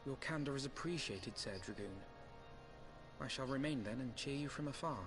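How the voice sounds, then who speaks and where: A man's voice: mid-range voice, normal speed, music in the background, natural voice. An actor playing an articulate young nobleman in a fantasy video game, with precise, courteous, archaic wording. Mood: subdued, wry. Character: articulate, polite young nobleman, male scholarly diplomat.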